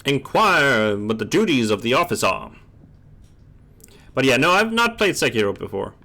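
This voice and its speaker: A man's voice: medium pitch, very animated, normal speed, gravelly, pushed and bright. A man hosting a casual gaming stream, chatting and reading game text aloud, often stumbling and rereading words.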